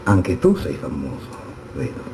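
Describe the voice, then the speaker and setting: A man's voice: low-pitched, wildly swooping pitch, a little slow, vocal fry, noisy background, full character voice. An actor playing a coarse, bullying policeman in a stage drama, mocking and goading. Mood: menacing, mocking. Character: taunting, bullying male policeman, fat and coarse. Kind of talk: theatrical stage dialogue. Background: jazz music.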